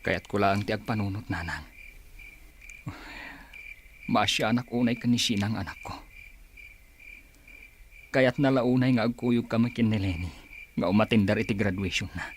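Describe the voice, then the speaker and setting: A man's voice: lowish voice, moderate pace, pauses often, slight background noise, close to an everyday voice. A man acting a regretful, troubled father in a radio drama, earnest and downcast. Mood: brooding. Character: regretful, troubled father, grown son of family.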